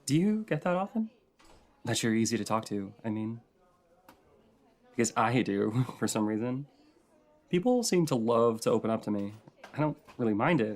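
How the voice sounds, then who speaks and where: A man's voice: medium pitch, darkish tone, close to an everyday voice. A man playing a shy, adorkable young stranger in an intimate roleplay audio, talking in a hesitant, rambling, self-conscious way.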